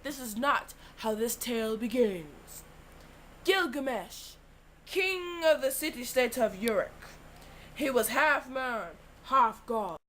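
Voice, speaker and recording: Teen girl narrator, playful, high-pitched. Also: animated, slow, a bit bright, a little hiss or hum. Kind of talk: school project narration.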